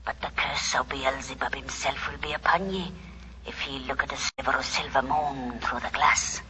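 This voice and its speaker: A performer playing a folksy, ominous country wise woman, hushed and incantatory. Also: mid-range voice, steady tone, normal speed, close to an everyday voice. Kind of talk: 1940s radio acting. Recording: noisy 1940s radio recording.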